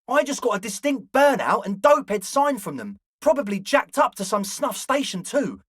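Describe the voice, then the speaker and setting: A man's voice: very high-pitched, sing-song, moderate pace, a bit bright, slightly rough, no room sound, studio quality, full character voice. A man voicing an eager, flaky young male slacker ringleader in a video game, talking in an earnest, ingratiating, hedging way. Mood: earnest, evasive. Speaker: voice actor. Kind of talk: video game character dialogue.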